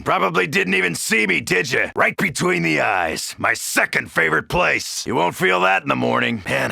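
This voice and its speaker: An actor voicing a brash, cocky American soldier in a video game, gravelly and swaggering as he taunts in combat. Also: sits high, pushed and bright, no room sound, clean studio sound, full character voice. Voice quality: gravelly, rough. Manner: taunting, swaggering macho bravado.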